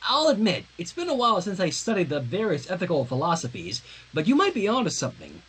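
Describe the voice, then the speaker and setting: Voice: mid-range voice, wildly swooping pitch, fast talker, a bit bright, husky, full character voice, poor audio. A reader voicing a studious, earnest young pony princess in human form, lecturing in a stern and emphatic way for a fan story reading. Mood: pondering.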